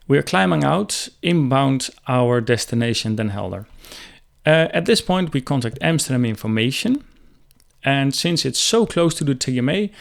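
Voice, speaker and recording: A man's voice: medium pitch, creaky. A man in his thirties, a helicopter pilot, explaining radio procedures in an instructional style into a close desk microphone. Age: 30s. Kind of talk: instructional commentary. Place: small room indoors.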